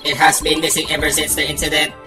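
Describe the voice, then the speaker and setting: A woman's voice: sits low, flat delivery, fast, reverberant, recorded from a distance, lightly acted. A woman voicing a smug, condescending male bomb disposal expert, pompous and precise, in an amateur game dub. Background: game music.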